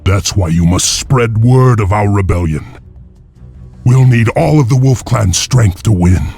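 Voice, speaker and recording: Gravelly, defiant warrior rebel. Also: male voice, lowish voice, lively intonation, unhurried, darkish tone, faint music behind, full character voice. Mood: grave resolve. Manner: resolute, grave, heroic. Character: noble, defiant male wolf-clan warrior leading slave rebellion. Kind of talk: video game hero acting.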